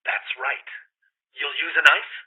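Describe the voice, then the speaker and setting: Woman playing a husky, cold telephone conspirator. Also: deep voice, fairly even intonation, measured pace, heavily acted. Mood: cold, businesslike. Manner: curt, controlled, instructing. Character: cold, businesslike murder-plotting criminal go-between. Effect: telephone filter. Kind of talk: 1940s radio thriller acting. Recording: thin, band-limited telephone line.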